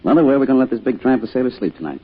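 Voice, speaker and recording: An American actor playing a kindly, gentle bereaved father in a 1940s radio drama, speaking in a hesitant, folksy way. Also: medium pitch, lively intonation, very fast, natural voice. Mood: friendly, welcoming. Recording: tinny old radio broadcast, faint music.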